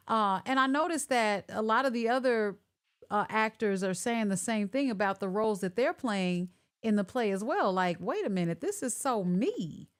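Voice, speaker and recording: A woman hosting a casual online interview over a video call, chatty and animated as she works through connection glitches. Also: mid-range voice. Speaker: host.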